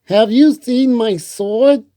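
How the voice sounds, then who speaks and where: A man's voice: extremely high pitch, slow pace, mellow, dry recording, studio quality, pitched above the performer's own voice. A man voicing an earnest, slightly stubborn young boy turtle in a storybook.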